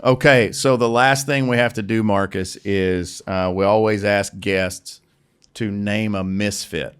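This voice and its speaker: A man's voice: on the low side, steady tone, unhurried, smooth. A man hosting a podcast, chatting casually and upbeat with his guest. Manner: upbeat, encouraging. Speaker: podcast host. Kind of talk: casual interview chat.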